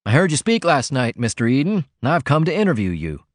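A man's voice: mid-range voice, sing-song, brisk, dry recording, clean studio sound, pitched above the performer's own voice, close to an everyday voice. A man voicing a brash, self-important young cub reporter in a storybook reading, with an airy, eager manner. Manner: eager, airy, indignant when crossed.

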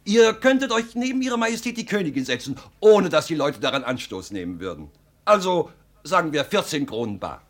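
A man acting a jovial, pushy traveling salesman in a 1950 radio drama, persuasive and full of flattery. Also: fairly high pitch, fairly quick. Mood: flattering, eager.

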